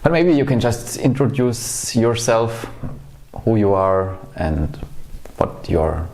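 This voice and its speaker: Deep-voiced man hosting a podcast. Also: lively intonation, takes it slowly, darkish tone, rough recording. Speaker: podcast interviewer. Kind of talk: conversational interview.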